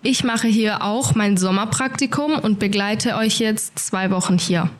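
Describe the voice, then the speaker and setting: A woman's voice: medium pitch, measured pace. A nineteen-year-old woman, a trainee educator, introducing herself in a friendly, eager way. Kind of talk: interview self-introduction.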